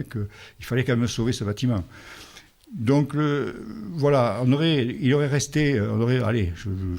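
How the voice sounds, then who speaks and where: Gravelly-voiced mayor at a public meeting. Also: male voice, on the low side, mellow. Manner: halting, restarts, rounding figures loosely. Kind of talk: public meeting presentation and answers.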